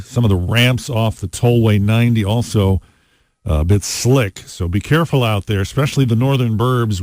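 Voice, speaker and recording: A man's voice: fairly low pitch, dry recording, clean studio sound. Chatty rock radio DJ man. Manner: relaxed, chatty, frequent uh fillers. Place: radio studio. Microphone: studio microphone.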